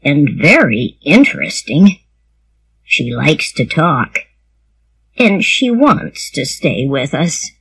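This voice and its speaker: Woman doing a low, slow, shy farmer man. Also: very animated, vocal fry, slightly rough, exaggerated voice. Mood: gently persuasive. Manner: gentle, hesitant, slow-spoken. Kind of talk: storybook character voice.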